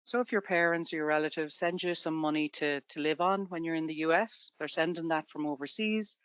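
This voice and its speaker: A woman's voice: lowish voice, dry recording, highs cut off. A woman from Ireland with an Irish accent, presenting a tax webinar to students in a conversational way with everyday examples.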